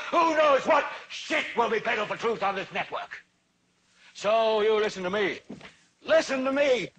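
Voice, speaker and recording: An actor playing a furious, prophetic TV news anchor, ranting in oratorical, repeating cadences like a zealot in a film monologue. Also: male voice, very high-pitched, bright, forceful tone, heavily acted, poor audio. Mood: fervent outrage, then fiery preaching.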